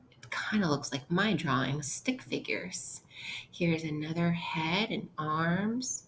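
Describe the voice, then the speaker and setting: A woman with a slightly low voice reads aloud as a teacher would to young children. She takes her time, speaking very slowly and explaining in simple, instructional language. There is faint noise behind her. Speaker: elementary school teacher. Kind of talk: interactive read-aloud lesson for children.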